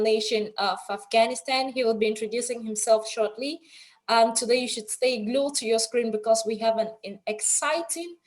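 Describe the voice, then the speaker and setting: A woman's voice: fairly high pitch, darkish tone. A woman hosting an online interview show in an effusive, announcer-like manner. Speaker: host, anchor of an online TV show. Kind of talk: presenting and interviewing.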